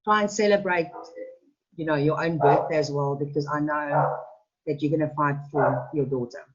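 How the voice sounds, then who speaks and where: A woman's voice: low voice, fairly even intonation, normal speed, lo-fi sound. A woman, a radio presenter, chatting and reassuring a caller in a supportive way. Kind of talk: phone chat.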